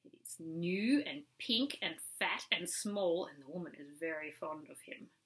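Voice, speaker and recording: A woman as a little storybook bat, bright-voiced. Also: on the low side, sing-song, a little slow, full character voice, rough recording. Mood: informative. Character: little upside-down bat, gossipy informant.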